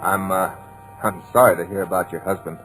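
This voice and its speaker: An actor playing a hesitant young writer who stumbles with many uh's, in a 1940s radio drama. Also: male voice, sits low, steady tone, fairly quick, a bit bright, soft background music, low-quality recording. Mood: uneasy.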